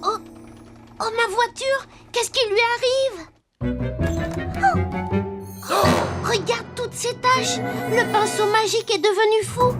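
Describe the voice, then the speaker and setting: A performer voicing an earnest, excitable little wooden puppet boy with a childlike voice in a French children's cartoon. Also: slow pace, long pauses. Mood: worried, urgent. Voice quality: very high, childlike. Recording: music behind the voice. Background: prominent cartoon music.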